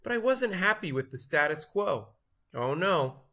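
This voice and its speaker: Man playing a bright, boastful storybook cheese. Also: a little high, big pitch swings, full character voice. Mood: proud reminiscing. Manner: theatrical, grandiose, drawn-out emphasis. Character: boastful, show-off male cheese telling his story. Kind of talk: expressive children's storybook read-aloud.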